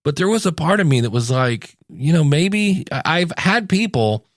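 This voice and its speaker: A man's voice: fairly high pitch, very animated, moderate pace, no echo, studio quality. A man in his fifties hosting a podcast, talking casually and candidly in an anecdotal monologue. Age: 50s. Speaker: podcast host.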